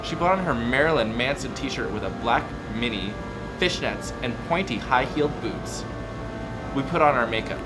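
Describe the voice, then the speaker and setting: Loud music plays behind a self-absorbed seventeen-year-old goth vampire girl acted by a man. The pitch is that of an ordinary male voice. The delivery is a theatrical comic reading of fan fiction, overwrought and abruptly swinging in emphasis. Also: animated, moderate pace, close to an everyday voice, poor audio. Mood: brooding, dramatic.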